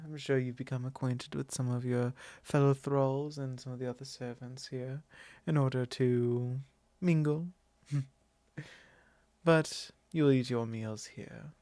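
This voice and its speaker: A man's voice: medium pitch, no room sound. A man playing a possessive, courtly vampire lord in an ASMR roleplay, speaking formally and condescendingly in an intimate monologue. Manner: formal, unhurried, condescending, with soft chuckles.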